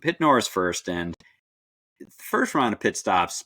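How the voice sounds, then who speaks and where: A man with a deep, low voice co-hosts a sports podcast. He talks through the action casually and in a measured way, recorded very dry with no room sound. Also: big pitch swings, bright, forceful tone, no echo. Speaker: podcast co-host.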